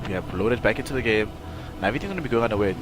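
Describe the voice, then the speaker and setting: A man's voice: fairly low pitch, animated, rapid-fire, over loud music, poor audio. A man with a stuffy, nasal voice from a cold, giving rambling live play-by-play commentary on an esports match. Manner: rambling, self-correcting analysis. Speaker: game caster.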